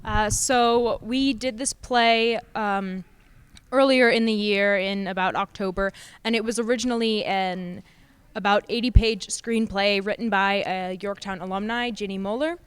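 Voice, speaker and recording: A woman's voice: medium pitch, steady tone, measured pace, clean tone, no echo. A teenage female student actor with an American accent, explaining things in an interview.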